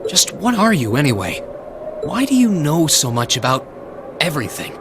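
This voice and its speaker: Animated, earnest young hero. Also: male voice, on the high side, normal speed, noisy background. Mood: curious, wry. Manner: earnest, emotive. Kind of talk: video game voice acting.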